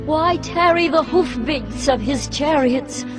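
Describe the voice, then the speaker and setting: A woman's voice: high voice, slow. A woman playing a solemn, mocking ancient singer of a victory song in a dramatized scripture reading, declaiming poetically. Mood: mocking, dramatic. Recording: loud music under the voice. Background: prominent music.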